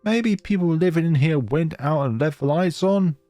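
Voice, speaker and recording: A man's voice: a little high, lively intonation, normal speed, mellow, no echo, soft background music. A man hosting a gaming let's play, reading story text aloud dramatically and dropping in casual asides. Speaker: gaming channel host.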